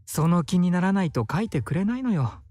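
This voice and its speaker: A man's voice: high-pitched, sing-song, very fast, darkish tone, no echo. An actor playing a teasing, effeminate, sociable male bar worker with breezy feminine phrasing in a Japanese visual novel.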